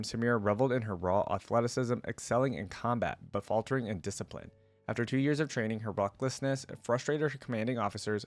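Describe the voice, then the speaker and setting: A man's voice: lowish voice, no room sound. A man reading a story aloud and commenting on it casually, as a reaction video creator. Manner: casual, conversational asides.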